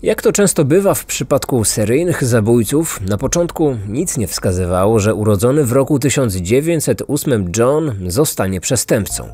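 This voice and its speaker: A man hosting a podcast, reading scripted true-crime narration like a measured storyteller. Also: medium pitch, expressive, dark tone, husky. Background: faint music.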